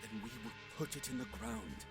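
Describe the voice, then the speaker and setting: An actor playing an arrogant, hot-tempered alien warrior lord in a science fiction audio drama, with a haughty, theatrical manner. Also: male voice, medium pitch, normal speed, over music, lightly acted. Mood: resolute.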